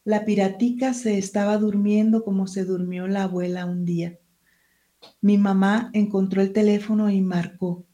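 Woman writer, mellow tone, hesitant, reads her own prose aloud. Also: medium pitch, level tone, moderate pace. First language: Spanish. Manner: hesitant, searching for words. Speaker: writer and teacher. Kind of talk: interview answers and reading from her book.

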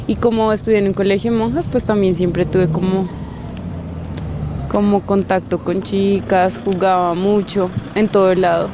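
A woman's voice: mid-range voice, level tone, normal speed, lo-fi sound. Young woman, street noise. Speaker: interviewee. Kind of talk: street vox pop.

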